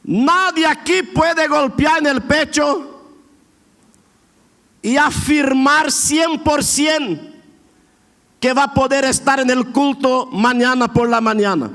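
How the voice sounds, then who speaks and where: A man preaches in a strikingly high voice that rings through a reverberant hall. His pace is slow, with words stretched out for emphatic exhortation. His Argentine border Spanish carries a clear Brazilian accent. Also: fairly even intonation, fairly bright tone. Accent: Brazilian-influenced border Argentine Spanish. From border area between Argentina and Brazil. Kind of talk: Pentecostal sermon. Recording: echoey hall with long reverb.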